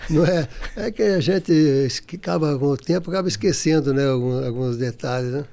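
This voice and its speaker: Former footballer guest, Brazilian man in his sixties, gravelly and mellow, speaks haltingly with lots of fillers. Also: fairly high pitch. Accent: Brazilian Portuguese. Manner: halting, many restarts and fillers. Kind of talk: casual interview.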